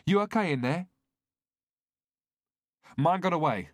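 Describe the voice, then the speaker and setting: A man playing a brisk armed policeman, pitched up. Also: lively intonation, no echo, studio quality. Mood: matey, brisk. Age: 40s. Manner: barked commands, easy matey asides. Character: armed police officer in his forties, brisk, conspiratorial. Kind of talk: audiobook character voice.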